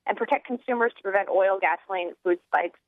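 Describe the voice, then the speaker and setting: A young American woman giving earnest political talk as an activist guest, halting and restarting as she goes. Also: mid-range voice, no echo. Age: young adult. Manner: rambling, halting with false starts. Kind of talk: earnest political talk, partly reading out a platform. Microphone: telephone. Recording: narrow tinny phone line.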